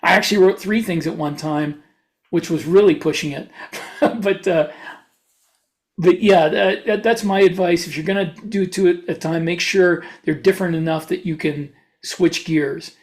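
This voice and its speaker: A man's voice: high-pitched, normal speed. A man co-hosting a podcast, talking casually as a writer giving advice. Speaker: podcast co-host, fiction writer. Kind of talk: casual chat.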